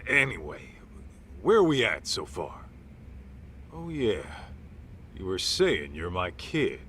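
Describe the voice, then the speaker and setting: Gruff, low-voiced detective, forceful edge. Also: male voice, big pitch swings, takes it slowly, a little hiss or hum, heavily acted. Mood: probing, skeptical. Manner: informal, blunt, clipped tough-guy phrasing. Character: gruff middle-aged male ex-yakuza detective, wearily patient. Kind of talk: video game cutscene dub acting.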